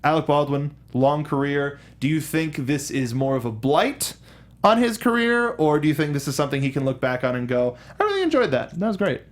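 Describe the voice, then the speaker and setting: A man co-hosting a movie show, giving conversational commentary in an animated way and acting out imagined quotes. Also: on the high side, a little fast. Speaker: co-host of a movie discussion show.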